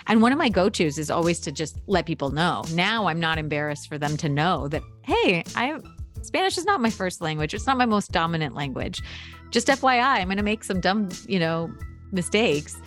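A woman's voice: on the low side, normal speed, soft background music. A woman from the United States, a podcast guest, telling stories in a casual interview and acting out quoted dialogue. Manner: lively storyteller, acts out quoted dialogue.

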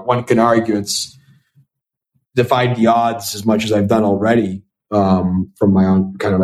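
A man's voice: lowish voice, darkish tone, slight background noise, rough recording. A young man speaking as an investor guest in a podcast interview, answering thoughtfully and often restarting with repeated words. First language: Italian. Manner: thoughtful, repeats words when starting sentences. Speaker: venture capital investor, guest.

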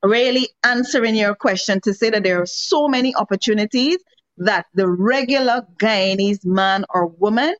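A woman's voice: medium pitch, moderate pace, no room sound. A woman talking earnestly in a discussion, stressing her points with rhetorical questions. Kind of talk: casual discussion. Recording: dull lo-fi sound.

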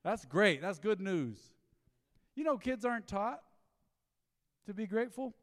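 A man preaches in a church sanctuary. His voice sits a touch high and rings with the long reverb of a big hall. He tells stories in a folksy way, slipping into low asides and then rising to loud, emphatic bursts. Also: big pitch swings, measured pace. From Texas. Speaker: pastor. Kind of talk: sermon.

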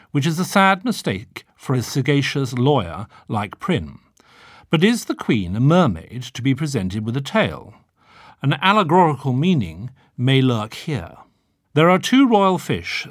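Guy reading a classic novel, super animated pitch, wry and theatrical, dry close recording. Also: no echo. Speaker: audiobook narrator. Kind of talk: expressive literary narration.

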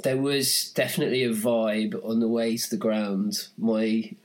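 A man's voice: on the low side, level tone, measured pace. A man co-hosting a casual podcast, recounting a day out in a rambling way with dry humor. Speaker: podcast co-host. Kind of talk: casual chat.

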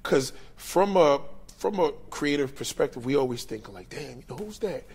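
Animated New York man hosting. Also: a little high, moderate pace, slightly rough. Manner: animated, slangy, teasing. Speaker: host. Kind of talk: casual interview chat.

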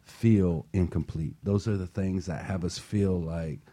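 A man's voice: deep voice, fairly even intonation, a little slow, dark tone. A man hosting a motivational talk show, speaking earnestly and passionately, often repeating words as he starts a thought. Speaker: host.

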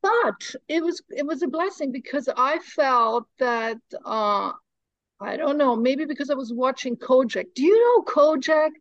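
A woman's voice: high voice, animated, a little slow, dry recording, dull audio. A middle-aged woman, from Germany, chatting as a guest in an interview, playful, joking and laughing often. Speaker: guest, stop motion animator. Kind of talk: casual interview chat.

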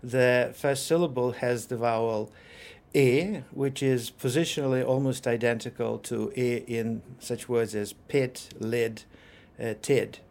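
A man, a lecturer and linguist, explaining pronunciation in a measured, precise way with pauses and fillers. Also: medium pitch, steady tone, vocal fry, a little mellow. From Ukraine. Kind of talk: explanatory interview answers.

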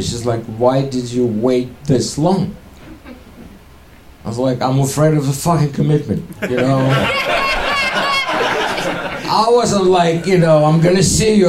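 A stand-up comedian from Ukraine with a Russian accent, in his forties, performing a halting, deadpan set in a comedy club. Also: medium pitch. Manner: deadpan, halting, with many fillers. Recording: echoey club, loud room noise.

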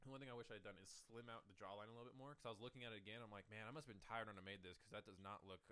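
A young man rattles off his thoughts at a fast clip while co-hosting a casual gaming podcast. His voice is low, smooth and clear, and he rambles excitably with plenty of fillers. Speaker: podcast co-host.

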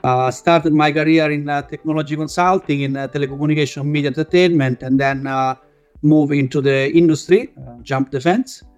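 Halting Italian-accented man. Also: a little high, steady tone, clear, resonant tone, dull audio, soft background music. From northern Italy. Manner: halting, many filler sounds. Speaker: guest, engineering manager. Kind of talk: casual panel discussion.

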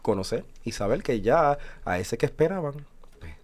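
A man's voice: medium pitch, very animated, moderate pace, darkish tone. A man in his forties co-hosting a religious radio chat, explaining thoughtfully with hesitant fillers. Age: 40s. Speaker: radio show co-host.

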